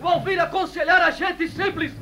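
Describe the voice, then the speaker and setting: An actor playing a scornful, insistent priestly accuser in a biblical drama, with indignant, declamatory delivery. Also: male voice, very high-pitched, steady tone, brisk, sharp tone, some chatter behind, slight background noise, heavily acted. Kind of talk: biblical audio drama acting.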